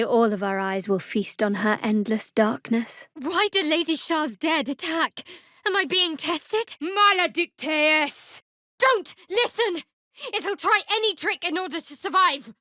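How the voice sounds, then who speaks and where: Young female cleric of darkness, video game character, guarded, sardonic, measured, higher-pitched, close dry sound. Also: a bit bright, no echo. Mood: solemn, emotional, then alarmed, angry, then forceful incantation.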